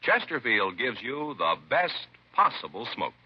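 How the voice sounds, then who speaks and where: Very animated old-time radio pitchman. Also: measured pace, very noisy. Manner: emphatic, salesmanlike. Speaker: radio commercial announcer. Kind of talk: 1950s radio advertising pitch. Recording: dull vintage radio recording.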